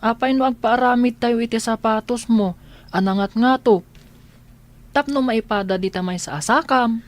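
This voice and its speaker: A woman's voice: fairly high pitch, normal speed, close to an everyday voice. Woman playing curious little Ilocano boy. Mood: innocent. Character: young blind boy, curious and innocent. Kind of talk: radio drama acting in Ilocano.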